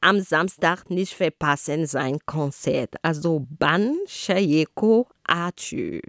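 A woman's voice: low-pitched, lively intonation, slow, a bit bright, dry recording, clean studio sound. Woman co-hosting a radio talk. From Cameroon. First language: Homala. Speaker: radio show co-host.

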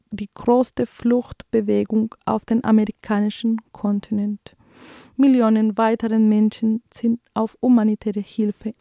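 A woman's voice: a little high, dark tone, dry recording, clean studio sound. A woman from Colombia in her thirties, a native Spanish speaker with a Colombian accent, hosting a community radio show in a hesitant way full of fillers and restarts. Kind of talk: community radio commentary and news reading.

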